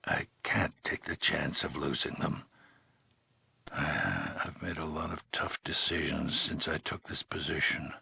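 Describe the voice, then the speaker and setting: An actor playing a weary, grave older leader of an underground vault in a video game, speaking in a measured, solemn way with heavy pauses. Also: male voice, very deep voice, sharp tone, no echo, heavily acted. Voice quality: gravelly, husky. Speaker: overseer of a vault community. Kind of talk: dramatic video game dialogue.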